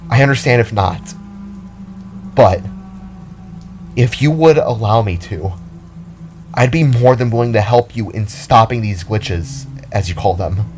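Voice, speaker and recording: A man's voice: lowish voice, a little slow, long pauses, a little mellow, a little hiss or hum, close to an everyday voice. A man voicing a determined, caring young woman, a guitarist and fighter, in an earnest, rambling, pleading way for an audio drama. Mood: soft, cautious.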